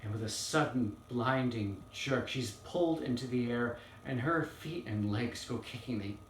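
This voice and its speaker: A man playing an earnest young defense lawyer in a dramatic courtroom monologue, solemn and halting with weighty pauses. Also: mid-range voice, natural voice. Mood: grave, hushed. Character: earnest young male Southern defense lawyer pleading to jury. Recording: roomy, distant mic, faint noise.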